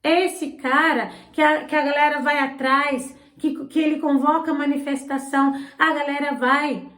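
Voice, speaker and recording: High-voiced woman, indignant commentator. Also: fairly even intonation, normal speed, fairly bright tone. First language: not Portuguese. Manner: indignant and sarcastic. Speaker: channel host, political commentator. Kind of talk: opinionated political commentary. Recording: roomy echo.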